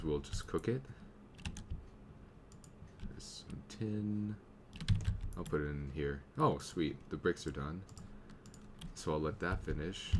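A young man with a slight cold, casually commenting on his game as he plays and thinking aloud, now and then clearing his throat. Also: rumbling low, background noise. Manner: easygoing, thinking aloud while playing. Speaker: gameplay commentator. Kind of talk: casual let's play commentary.

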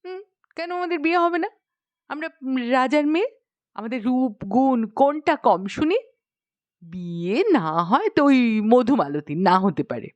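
A woman's voice: high-pitched, animated, a little slow, no room sound, dull audio. A woman voicing a haughty, spoiled young princess in a storybook, asking questions in a huffy, indignant manner. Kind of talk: dramatised storybook reading.

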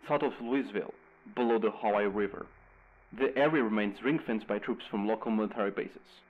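A grave radio news anchor, played by a man with a fairly low voice. He delivers scripted bulletins with measured urgency. The sound is slightly muffled, like an old radio broadcast. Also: close to an everyday voice. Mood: grave, urgent.

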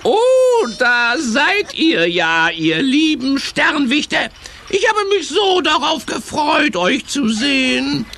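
Shrill, creaky cartoon grump villain. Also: male voice, very animated, slow pace, bright, forceful tone, a little hiss or hum, heavily acted. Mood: feigned sweetness. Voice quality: high, creaky, cackling. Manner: exaggerated, swooping, gloating, drawn out. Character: gleefully spiteful male grump, sly cartoon villain. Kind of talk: German children's audio play acting.

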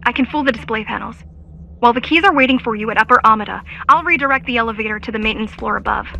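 A woman's voice: on the high side, rapid-fire, creaky, sharp tone, low-quality recording. A woman playing a candid, helpful hacker ally in a video game, with an informal way of talking. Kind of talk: scripted game dialogue.

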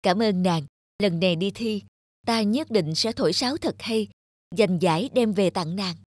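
An actor playing an earnest, devoted young husband in an animated folktale, speaking expressively. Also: male voice, high voice, normal speed, no room sound, clean studio sound. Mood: tender, proud.

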